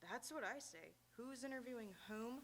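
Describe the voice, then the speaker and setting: A woman on stage plays a guarded working woman in a live radio play. Her voice is high. She answers with wry reserve. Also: animated, normal speed. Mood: guarded, rattled. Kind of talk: live radio theatre acting.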